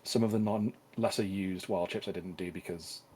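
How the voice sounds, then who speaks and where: A man acting as dungeon master, talking through game updates in a halting way with frequent fillers. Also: deep voice, fairly even intonation, a little mellow, breathy edge. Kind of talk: casual tabletop game talk.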